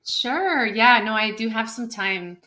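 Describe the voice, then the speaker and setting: A woman musician from California talking in a gentle, earnest way over a video call, laughing easily. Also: a little high, animated, takes it slowly. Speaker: musician guest. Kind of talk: singing then casual chat. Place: home, at a window with trees outside.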